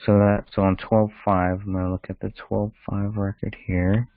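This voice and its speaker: A man's voice: lowish voice, flat delivery, moderate pace, a little hiss or hum. A man hosting a casual discussion, reading through records and thinking aloud in short, terse phrases. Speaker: podcast host. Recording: thin phone-like call audio.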